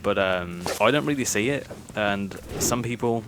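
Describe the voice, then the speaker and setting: A man's voice: lowish voice, normal speed, background noise. A young man from Birmingham with a mild Birmingham accent, chatting self-consciously over gameplay with nervous laughs and many fillers. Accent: mild Birmingham English. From Birmingham, England. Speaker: gaming YouTuber. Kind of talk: casual gameplay commentary.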